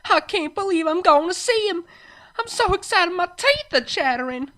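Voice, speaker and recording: A reader voicing an excitable, polite young farm pony mare in a story. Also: medium pitch, normal speed, voice pushed up. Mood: excited. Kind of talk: fan fiction character reading.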